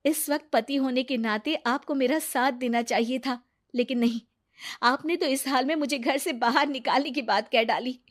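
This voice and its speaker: A woman's voice: high voice, moderate pace, voice pushed up, lightly acted. A woman voicing a distressed young mother in a cartoon moral story, pleading and emotional yet determined. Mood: hurt, resolute.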